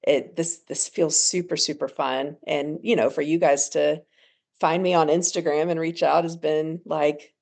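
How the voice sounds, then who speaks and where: Woman from ecuador in her 30s, low voice with a gravelly edge, chats and laughs a lot. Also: steady tone, a little slow, darkish tone. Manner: chatty, laughs often. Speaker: guest counselor. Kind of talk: casual podcast interview.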